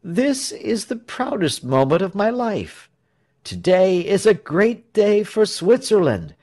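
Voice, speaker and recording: A man voicing a bashful hero who makes an earnest, pompous speech in a storybook, declaiming haltingly. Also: high voice, very animated, a little mellow, voice pushed up, full character voice. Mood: proud, earnest.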